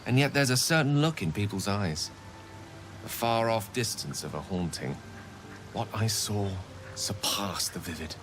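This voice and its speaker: A man's voice: lowish voice, expressive, a little slow. A man playing a genteel, eloquent companion in a video game, articulate and florid in an intimate way. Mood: wistful, intrigued. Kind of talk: video game character acting. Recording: faint music behind.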